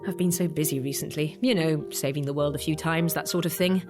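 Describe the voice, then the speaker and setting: A woman's voice: low voice, fairly even intonation, brisk, music in the background, natural voice. A woman playing a wry, playful adventurer confiding in her diary in a scripted audio drama. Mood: breezy, playful. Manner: breezy, playful, tongue-in-cheek.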